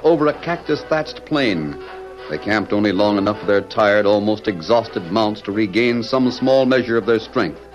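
A male narrator with a lowish voice delivers scripted Western adventure narration, florid and urgent. The vintage radio recording is muffled, with music behind him. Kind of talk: dramatic old-time radio western narration.

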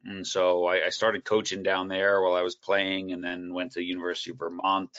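A man guest on a video call, telling his coaching story in a relaxed, rambling way with many fillers and restarts. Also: sits low, fairly quick. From United States. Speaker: guest speaker, soccer coach educator. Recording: dull, lo-fi call audio.

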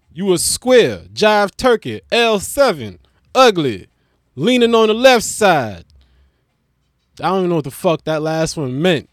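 A man's voice: fairly high pitch, a bit bright, dry recording, studio quality. A man hosting his own podcast, rambling in a casual, profane, joking way and restarting his intro. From Brooklyn. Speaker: podcast host.

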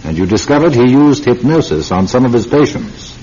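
An actor playing a stern, commanding senior police officer with a clipped, formal manner in a radio drama. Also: male voice, medium pitch, big pitch swings, brisk, mellow, lightly acted. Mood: explanatory. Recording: muffled, noisy radio recording.

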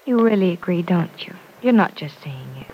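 An actress playing a level-headed young woman who gently teases, in 1940s radio acting style. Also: lowish voice, expressive, normal speed, slight background noise. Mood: reasoning. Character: sensible young woman in love. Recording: dull old radio broadcast.

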